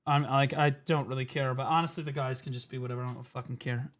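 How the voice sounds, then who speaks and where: A man's voice: medium pitch, fairly even intonation, fast talker. A man streaming, reacting to clips with emphatic, profane, rambling commentary. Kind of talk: casual ranting commentary.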